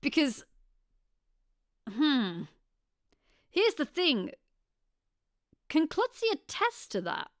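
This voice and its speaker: A woman streamer giving expressive, joking let's play commentary and exclaiming at the story. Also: sits high, very slow, pauses often, no room sound, studio quality. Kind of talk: let's play reaction commentary with character voicing.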